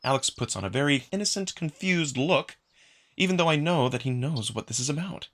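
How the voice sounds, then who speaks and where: A man's voice: on the high side, animated, a little fast, a bit bright. A man giving a dramatic first-person narration of a visual novel, acting as narrator. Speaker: narrator and voice actor.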